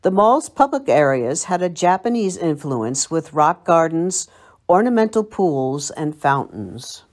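A woman's voice: deep voice, sing-song, a little slow, a little mellow. An older woman with an American accent, from Long Island, narrating a scripted local history piece in a reminiscing, storytelling manner. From Long Island, United States. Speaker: library local history presenter.

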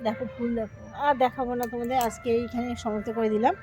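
A woman's voice: fairly high pitch, steady tone, racing, a little mellow, faint music behind. A woman chatting casually and effusively to viewers as she vlogs in her home garden, often repeating herself. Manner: effusive, repetitive, showing things to viewers. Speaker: vlogger and host of own page.

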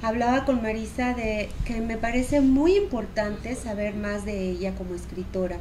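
A woman's voice: on the high side, steady tone, moderate pace, mellow, slight background noise. A Mexican woman hosting a studio talk show, introducing her guest in a friendly way. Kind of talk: interview hosting. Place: small indoor TV studio set.

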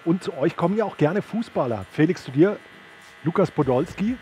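A man's voice: a little high, expressive, a little fast, warm tone, faint music behind. A man in his thirties presenting and interviewing at an event, speaking into a headset mic in a sports hall. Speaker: host and interviewer. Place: large indoor sports hall.

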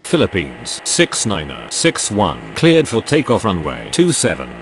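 A deep male air traffic voice , acted by a man for a game. He gives procedural radio phrases with strongly swinging intonation, over faint ambient noise. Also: wildly swooping pitch, a little slow, heavily acted. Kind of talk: scripted aviation radio phraseology.

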